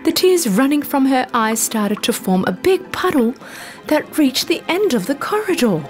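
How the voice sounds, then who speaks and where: A woman reading a scripted bedtime story for children in an expressive, deliberate storytelling manner. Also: sits high. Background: background music.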